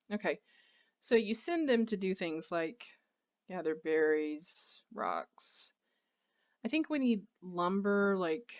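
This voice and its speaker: Woman gamer with low-pitched, muffled voice. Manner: rambling, thinking aloud while playing. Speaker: video game streamer. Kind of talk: casual let's play commentary.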